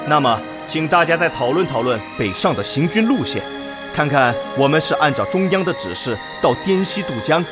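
Decisive red army commander in a radio play, rallying speech, lots of swing in the voice, music under it. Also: male voice, a little high, sing-song. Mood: measured, persuasive. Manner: measured, rallying speech-making. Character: decisive male Red Army commander chairing a war council. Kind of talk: Chinese radio drama acting.

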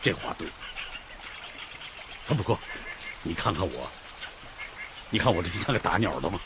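An actor playing a jovial, curious fat old man in a radio drama, folksy and given to drawn-out interjections. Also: low voice, lively intonation. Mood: approving. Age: older. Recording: dull, noisy radio drama recording.

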